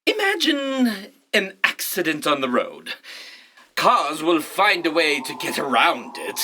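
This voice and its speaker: An actor playing a haughty, melodramatic director of a celestial department in a comic audio drama, declaiming with drawn-out emphasis. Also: medium pitch, bright, forceful tone, full character voice.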